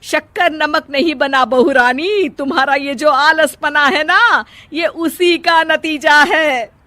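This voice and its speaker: Woman acting a loud, nagging, high-voiced mother-in-law. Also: slow pace, dry recording, exaggerated voice. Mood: indignant. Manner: nagging, sarcastic, emphatic. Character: exasperated, scolding older mother-in-law.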